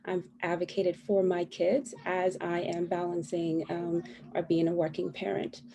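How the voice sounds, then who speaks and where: A woman's voice: lowish voice, flat delivery, a little slow, vocal fry, crowd noise. A woman running for a school council, speaking self-assured and lightly joking on a video call, with her children audible behind her. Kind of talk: candidate forum answer over video call. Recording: video call with faint kid noise. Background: children fighting.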